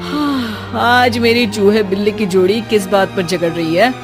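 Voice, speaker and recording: Female performer as young married son, family cartoon: weary scolding, teasing edge, soft music underneath. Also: mid-range voice, measured pace, close to an everyday voice.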